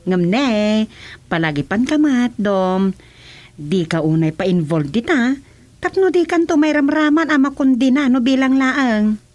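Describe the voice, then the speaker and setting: Slow, high-pitched teasing Ilocano woman. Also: expressive, a little mellow. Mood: teasing, cautioning. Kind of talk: Ilocano radio drama comedy acting.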